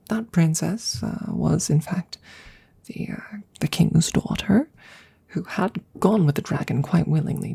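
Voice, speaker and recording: A woman voicing an ancient, courtly and slightly awkward female dragon in a soft ASMR roleplay, breathy and often whispered, with hesitant, formal phrasing. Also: fairly low pitch, full character voice. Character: courtly, awkward centuries-old female dragon in human guise.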